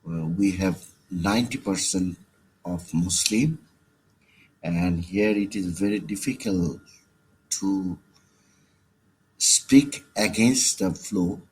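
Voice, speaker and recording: A man with a Bangladeshi accent leaving a personal voicemail message, with halting, hesitant phrasing. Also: deep voice, darkish tone. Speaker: caller. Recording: noisy voicemail recording.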